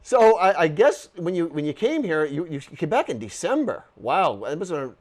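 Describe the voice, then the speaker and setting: A woman with a very low voice hosts a chat show in a TV studio. Her pitch swings widely while she gropes through her questions, restarting often. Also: sing-song, darkish tone. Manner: rambling, halting, many restarts. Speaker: talk show host. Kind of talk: casual interview chat. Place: local TV studio.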